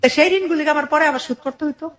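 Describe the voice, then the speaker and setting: A middle-aged woman with a fairly high voice and a Bangladeshi accent. She recounts her own life to an interviewer in earnest detail, often acting out what was said in reported speech. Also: racing, fairly bright tone. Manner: earnest, detailed narration with quoted dialogue. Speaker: interview guest telling her life story. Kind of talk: personal storytelling in conversation.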